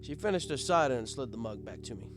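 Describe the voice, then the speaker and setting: A man's voice: medium pitch, expressive, fast. A man narrating a first-person story as a bartender, conversational and wry. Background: guitar music.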